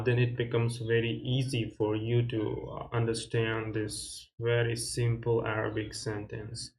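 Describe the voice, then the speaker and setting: A man teaching a beginner Arabic lesson, explaining slowly and reading each word aloud with many fillers and restarts. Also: medium pitch, low-quality recording. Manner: reads Arabic words aloud and repeats them. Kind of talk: casual step-by-step beginner lesson.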